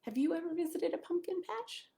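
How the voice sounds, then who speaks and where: American woman with lively, animated storytime delivery. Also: extremely high pitch, fairly quick, vocal fry. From United States (Maryland). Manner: simple child-directed phrasing, asking listeners questions. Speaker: pastor reading a children's story. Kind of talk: children's storytime read-aloud with chatty asides.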